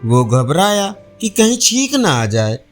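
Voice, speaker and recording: A man narrating a scripted folk tale as a storyteller, in an expressive manner. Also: fairly high pitch, a little slow. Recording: faint music behind narration. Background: soft instrumental music.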